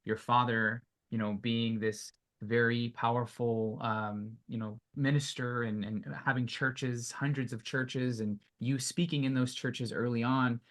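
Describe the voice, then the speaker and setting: A man hosting a podcast interview, asking measured questions with frequent hedging fillers. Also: medium pitch, flat delivery, a bit bright. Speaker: podcast host.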